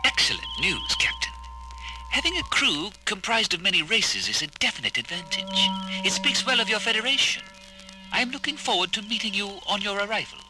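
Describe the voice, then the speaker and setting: A man's voice: high-pitched, very animated, fairly quick, music in the background, rough recording. An actor playing a polite male alien ambassador, speaking in a courteous, diplomatic, formal way.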